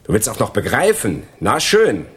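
An actor playing a gloating, envious crook in a German radio crime drama, sardonic and lecturing in a mock-polite way. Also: male voice, lowish voice, wildly swooping pitch, moderate pace, a bit bright, husky, full character voice. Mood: gloating, bitter. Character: gloating, envious male crook, betraying his friend.

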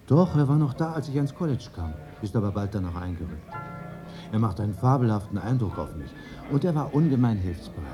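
A cultivated medical writer in a radio play. His voice sits on the low side, conversational and articulate, always inquiring, with music clearly underneath. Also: big pitch swings, fairly quick. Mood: reflective. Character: educated medical author, curious, questioning old school friend. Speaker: actor in a radio drama. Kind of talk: 1980s German radio play dialogue.

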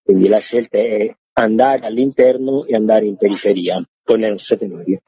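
A man's voice: lowish voice, fairly even intonation, moderate pace, mellow, slightly rough, dry recording. A man giving informal, explanatory interview answers as an organizer, talking in long, loosely linked sentences. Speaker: interviewed organizer of a farmers' gathering. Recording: dull, muffled lo-fi radio sound.

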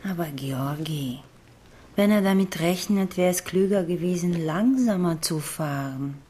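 Detective's female partner: husky, low-leaning voice, sceptical and sharp, radio drama. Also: measured pace. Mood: sceptical, probing. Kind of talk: radio play dialogue.

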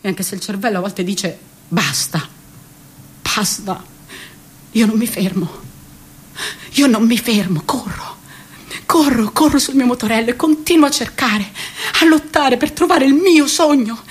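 Husky, restless young scooter rider. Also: female voice, on the high side. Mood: defiant. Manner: halting, repetitive, agitated, swinging between murmurs and outcries. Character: restless, defiant, jobless thirty-year-old scooter rider. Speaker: radio theatre actress. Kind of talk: dramatic radio theatre monologue.

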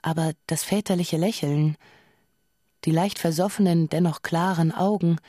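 A woman's voice: lowish voice, fairly even intonation, moderate pace, warm tone, no echo, close to an everyday voice. A woman acting as the narrator of a radio drama, recounting absurd events evenly in scripted prose. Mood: wry, even. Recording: dry studio, faint music.

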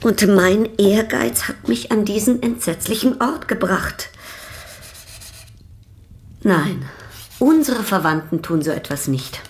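An actress playing an imperious, discontented baroness in a German radio drama, husky and haughtily complaining. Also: female voice, mid-range voice, expressive, slow pace, long pauses, slight background noise. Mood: haughty, reproachful. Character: imperious, discontented baroness, older sister of a bohemian.

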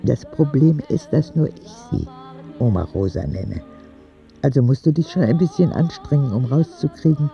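A man's voice: fairly high pitch, lively intonation, normal speed, gravelly, lo-fi sound, faint music behind. A man playing an earnest, candid ten-year-old sick boy who narrates his letters in a radio drama. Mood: candid, wistful.

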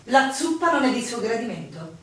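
A woman's voice: a little high, very animated. An actress playing a brusque, bossy woman who runs a village inn in a stage-style radio drama. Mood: bossy, brisk. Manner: brisk, ordering, scolding. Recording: echoey room, distant mic, faint noise, clicks.

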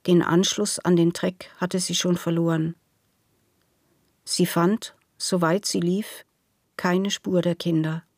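A woman's voice: lowish voice, little pitch movement, takes it slowly, a little mellow, no room sound, clean studio sound. A woman reading a scripted literary narration in a sober, factual storytelling manner.

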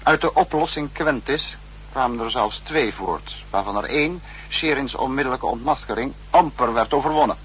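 A stern, analytical leader of a spy state played by a male actor lectures formally in 1960s radio drama style. His mid-pitched voice sits in a faintly noisy, humming old recording. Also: moderate pace, a bit bright, natural voice.

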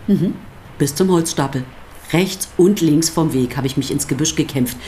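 Low female voice, actress playing defiant pastor's wife, mocking and provocative, radio drama, slight noise behind. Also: moderate pace, dark tone, natural voice. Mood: wry, narrating. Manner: sardonic, provocative, theatrically emphatic. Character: sardonic, defiant pastor's wife under police questioning. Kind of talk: German radio drama acting.

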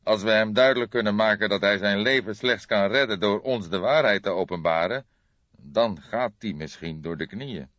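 A man playing a calm, weary old police detective with measured speech in audiobook dialogue. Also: on the low side, natural voice. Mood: calm, determined. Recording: dull lo-fi, boxy roomy sound.

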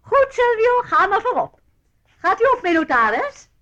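An actress playing an elderly, eccentric aristocratic aunt in a radio play, grand, chatty and blithely macabre. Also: female voice, extremely high pitch, very animated, normal speed, sharp tone, dry recording, lo-fi sound, full character voice. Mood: imperious. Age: older.

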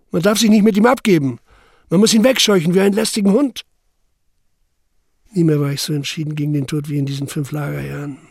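An actor playing a young labor camp survivor who narrates in the first person, sober, measured and intense. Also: male voice, a little high, vocal fry, a little mellow, dry recording, clean studio sound. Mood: defiant.

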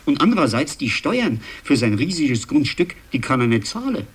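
An actor playing a chatty local man, husky and rambling, recounting gossip conversationally in a 1960s radio drama and seeking the listener's agreement. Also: medium pitch, very animated, moderate pace. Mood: animated gossip.

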